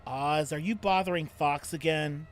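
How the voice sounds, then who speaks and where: A man's voice: on the high side, unhurried, natural voice. A man voicing an earnest, easily exasperated young farm boy.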